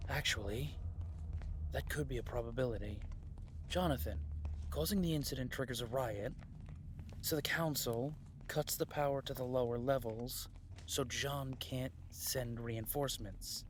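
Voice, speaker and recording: A man's voice: mid-range voice, measured pace, close to an everyday voice. Earnest young rebel soldier for an audio drama, man acting, reasoning aloud, faint hiss. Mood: analytical. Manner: earnest, analytical.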